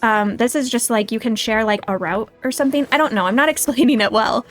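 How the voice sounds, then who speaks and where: A woman's voice: fairly high pitch, fairly quick, faint music behind. A woman hosting a casual game livestream, chatting and thinking aloud in a self-deprecating way. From United States. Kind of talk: casual livestream chat and gameplay commentary.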